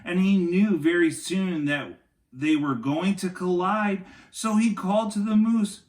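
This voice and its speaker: A man reading a picture book aloud to young children like a teacher, in an expressive, dramatic way with chatty asides. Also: high-pitched, measured pace, roomy sound.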